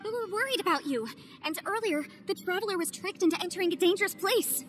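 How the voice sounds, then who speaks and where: Game voice: a bright-voiced, analytical young adviser, high pitch, music underneath. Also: female voice, expressive, fast. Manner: analytical, earnest, persuasive. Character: sharp, confident young woman legal adviser.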